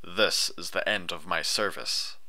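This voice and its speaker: A man's voice: low-pitched, unhurried, vocal fry, pushed and bright, exaggerated voice. A man voicing a dispassionate ghostly unicorn spirit, speaking in solemn pronouncements for an audiobook story. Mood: flat, dispassionate. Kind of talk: audiobook character voice.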